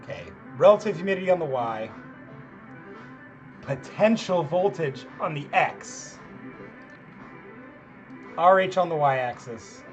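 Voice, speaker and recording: A man's voice: on the high side, lively intonation, slow pace, pauses often. Man with a tired, strained voice, casual rambling lecturer, dull lo-fi audio, faint music under. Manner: casual, rambling, self-deprecating. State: voice getting worn out. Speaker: chemistry professor. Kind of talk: screen-recorded lab tutorial.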